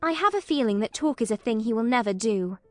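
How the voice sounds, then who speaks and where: A woman's voice: a little high, expressive, fast, a little mellow, no room sound, soft background music, natural voice. A woman voicing an earnest, guarded and stubborn genteel young girl in an audiobook. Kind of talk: audiobook character acting.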